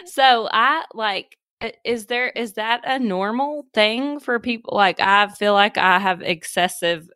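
A woman's voice: a little high, a little slow, hardly any pauses, sharp tone, clean tone, no echo, studio quality. A woman in her late twenties co-hosting a podcast, candid and jokey about herself. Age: 20s. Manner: candid, jokey, self-deprecating. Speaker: podcast co-host. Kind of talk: casual podcast chat.

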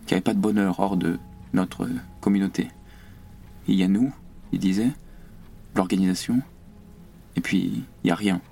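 An actor playing an earnest young idealist revolutionary, anguished and fervent, with halting, repeated words in a French radio play. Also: male voice, low-pitched, steady tone, creaky, dark tone. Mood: sorrowful, subdued. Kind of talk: French radio theatre acting.

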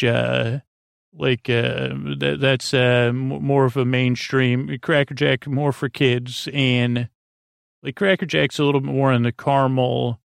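A man with a creaky voice hosts a bedtime podcast, meandering haltingly in a lulling, self-deprecating monologue. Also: mid-range voice, no room sound, studio quality. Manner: lulling, soothing. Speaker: podcast host.

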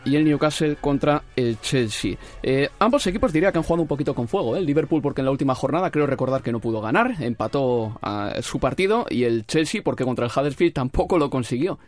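A man hosting a sports talk show, moderating with a light, joking touch. Lively and engaged, with faint music playing behind him. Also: a little high. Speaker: host.

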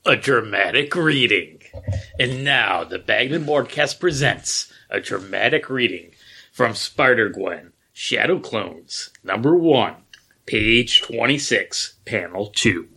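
Bright, animated man telling stories. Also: mid-range voice, takes it slowly, vocal fry, husky. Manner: animated storyteller who laughs often. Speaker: podcast host. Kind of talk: casual podcast chat.

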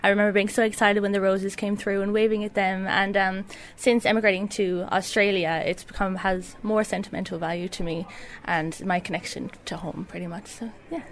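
Young woman, Kerry Irish accent, relaxed chatty interview talk, easy laughs. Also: medium pitch, fairly quick, few pauses, a little hiss or hum. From Ireland. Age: young adult. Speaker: pageant contestant. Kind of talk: casual interview chat.

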